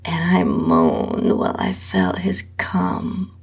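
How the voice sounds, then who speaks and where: Slow, husky woman narrator with creaky voice. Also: fairly low pitch, huge pitch swings, darkish tone. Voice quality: husky, breathy. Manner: slow, drawn-out reading with whispery dips. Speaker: host and story narrator. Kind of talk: scripted first-person erotic story narration.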